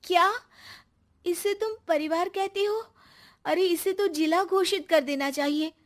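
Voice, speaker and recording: A woman's voice: very high-pitched, measured pace, pitched above the performer's own voice. A woman voicing an easily amazed young daughter-in-law in a cartoon story, exclamatory and wide-eyed. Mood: shocked, amazed.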